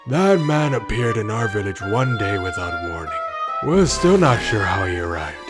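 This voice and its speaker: A man plays an earnest cartoon village leader. His delivery is lively yet formal and deferential, with music playing behind him. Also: on the low side, normal speed. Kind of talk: comic dub character acting.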